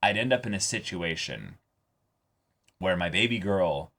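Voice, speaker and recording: A man's voice: deep voice, expressive, unhurried, fairly bright tone. Sweary, casual man co-hosting a reaction show. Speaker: co-host of an anime reaction show. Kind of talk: casual reaction discussion, acting out imagined quotes.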